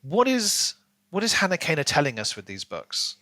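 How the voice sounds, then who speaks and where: A man's voice: on the high side, wildly swooping pitch, normal speed, pushed and bright, no room sound. A man co-hosting a book podcast, talking casually and enthusiastically and musing aloud in rhetorical questions.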